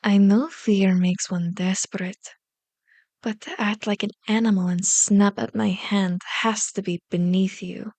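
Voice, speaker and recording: A woman's voice: mid-range voice, fairly even intonation, darkish tone, no echo, lightly acted. A woman playing a powerful, manipulative female vampire in an ASMR roleplay, seductive and condescending, slipping into whispers as she commands and coaxes. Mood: amused disdain. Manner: slow, commanding, mock-sweet taunting with whispered words.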